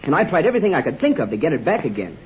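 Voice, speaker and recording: An actor playing an eager, excitable teenage boy sidekick in a 1940s radio serial. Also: a little high, racing, husky, heavily acted. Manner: eager, breathless exclamations. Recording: dull 1940s radio transcription.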